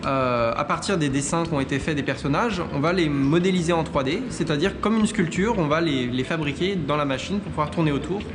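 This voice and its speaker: A man's voice: a little high, fairly quick, few pauses, background noise. A man explaining a technical craft in an interview as an animation specialist.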